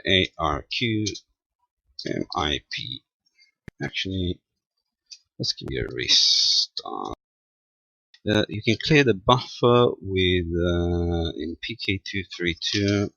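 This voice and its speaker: Gravelly, very low-voiced man, halting delivery. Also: bright, forceful tone, no room sound, muffled recording. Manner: halting, muttering to himself as he works. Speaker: amateur radio operator demonstrating equipment. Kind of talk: casual unscripted technical demonstration.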